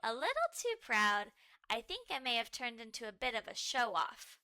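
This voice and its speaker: A woman voicing a patient, candid, loving mother dog in a cartoon storybook, explaining and reminiscing with gentle wryness. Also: fairly high pitch, sing-song, normal speed, clean tone, exaggerated voice. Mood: sheepish.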